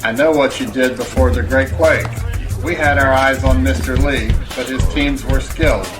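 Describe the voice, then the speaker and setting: An actor in an audio drama playing an authoritarian government commander with a measured, businesslike manner. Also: male voice, medium pitch, fairly even intonation, over music, natural voice. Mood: stern, businesslike.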